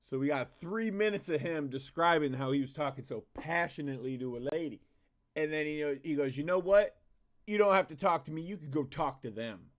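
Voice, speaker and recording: A man's voice: fairly high pitch, animated, a little fast. A young adult man hosting a reaction video, sarcastic and exasperated, swearing often. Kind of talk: casual reaction commentary.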